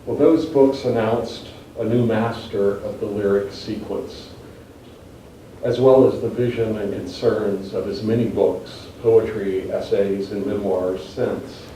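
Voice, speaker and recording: Echoey, low-voiced man giving a formal introduction. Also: fairly even intonation, mellow. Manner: measured, formal, literary. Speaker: university teacher introducing a poet. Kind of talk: prepared formal introduction speech. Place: indoor university reading event. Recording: echoey room, distant mic, faint noise.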